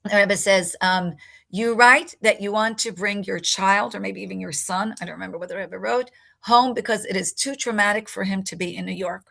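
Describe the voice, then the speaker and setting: Gravelly older woman telling a personal story. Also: mid-range voice, fairly even intonation, moderate pace, sharp tone. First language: English. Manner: emotional, reflective, gravelly tone. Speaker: interview guest.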